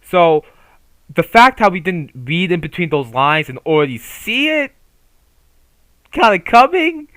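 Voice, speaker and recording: A man's voice: high-pitched, sing-song, a little slow, long pauses, a bit bright, no echo, poor audio. A man giving casual, opinionated commentary on a manga, swearing freely and rambling with restarts. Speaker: YouTuber reviewing a manga.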